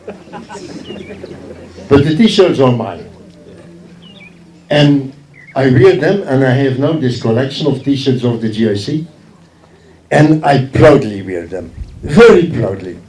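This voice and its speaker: A man's voice: mid-range voice, expressive, takes it slowly, long pauses, a little mellow, a little hiss or hum. A man giving an informal public speech as a guest at a ceremony, emphatic and repeating phrases for effect. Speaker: guest speaker from a forestry organisation. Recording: echoey speech heard from far off.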